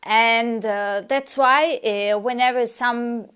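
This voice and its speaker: A woman's voice: on the high side, steady tone, slow pace, fairly bright tone, clear, resonant tone. A woman whose first language is Serbian, giving a casual language lesson and answering a viewer, with frequent hesitations and restarts. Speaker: language teacher.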